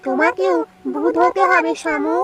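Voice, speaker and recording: Woman voicing a very high-pitched, dramatic ghost wife. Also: normal speed, music in the background. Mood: menacing. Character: possessive, menacing ghost wife in love with her husband. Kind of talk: children's cartoon voice acting.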